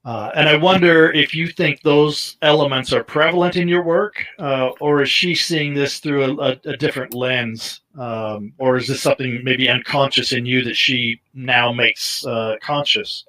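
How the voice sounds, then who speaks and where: A man's voice: medium pitch, fairly even intonation, moderate pace, few pauses, sharp tone, rough recording. Hesitant man on a headset. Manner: hesitant, many ums and uhs. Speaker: interviewer, host. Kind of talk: interview questions. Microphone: headset on a video call.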